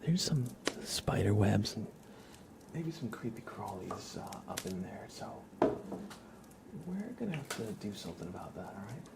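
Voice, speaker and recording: A man hosting an ASMR stream in a soft, breathy half-whisper, speaking gently and informally. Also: on the low side, sing-song, moderate pace, heavy background noise. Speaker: ASMR livestream host.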